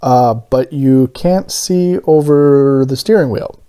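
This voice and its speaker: A man voicing a mild, kindly, hesitant grown man in a storybook, with gentle, drawn-out phrasing. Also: mid-range voice, smooth, natural voice. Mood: reluctant.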